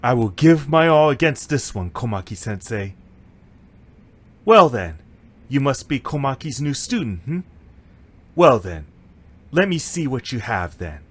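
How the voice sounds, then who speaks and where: A man's voice: mid-range voice, sing-song, measured pace, pauses often. A man playing a confident, competitive young martial arts student in an audio drama, with faint noise in the recording. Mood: cocky, challenging.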